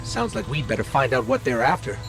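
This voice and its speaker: An actor voicing an eager, curious little robot companion in a video game, earnest and conversational. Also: male voice, medium pitch, lively intonation, fairly quick, over music, natural voice. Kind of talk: video game cutscene acting.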